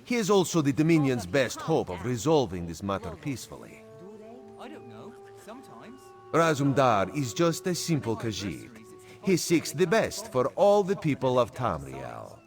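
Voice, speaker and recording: A man's voice: medium pitch, wildly swooping pitch, measured pace, soft background music. An actor playing a sly, humble male cat-man agent in a video game, wryly loyal and speaking of himself in the third person. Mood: wry, measured. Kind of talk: video game character dialogue.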